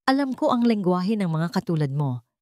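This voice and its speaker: Woman voicing a cold, commanding old patriarch, lively pitch. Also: brisk, no room sound. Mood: cold contempt. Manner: dry, emotionless, commanding. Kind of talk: Tagalog radio drama storytelling.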